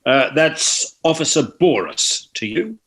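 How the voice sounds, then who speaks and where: Man playing a higher-pitched bumbling cop. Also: animated, slow, a bit bright. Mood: officious. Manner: stumbling, officious. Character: self-important, bumbling male police officer. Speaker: actor in a script table read. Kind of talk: comedic screenplay table read.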